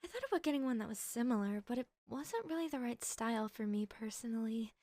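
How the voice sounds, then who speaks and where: A woman's voice: fairly high pitch, animated, quick pace, darkish tone, dry recording. A woman playing a polite, chatty cashier in a roleplay audio, perky and accommodating with customer-service courtesy. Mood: friendly. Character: polite, chatty young female shop cashier.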